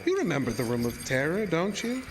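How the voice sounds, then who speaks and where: An actor playing a politely menacing carnival illusionist in a horror audio drama, speaking in a formal, measured, mock-courteous way. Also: male voice, on the high side, sing-song, noisy background. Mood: quietly menacing. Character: sinister, politely menacing male carnival dark-ride illusionist.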